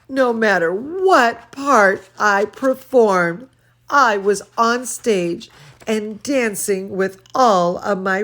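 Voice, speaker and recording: A woman reading a children's storybook, voicing an earnest, dramatic little girl in a drawn-out, emphatic way. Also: mid-range voice, a bit bright. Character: dramatic, earnest little girl narrator who loves dancing. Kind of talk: children's storybook read-aloud.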